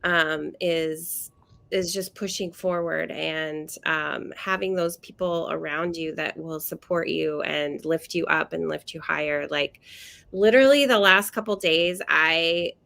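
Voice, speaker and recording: A woman co-hosting a casual chat over a video call, nasal from a cold, talking haltingly with many fillers and restarts. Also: low-pitched, creaky, pushed and bright. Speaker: co-host.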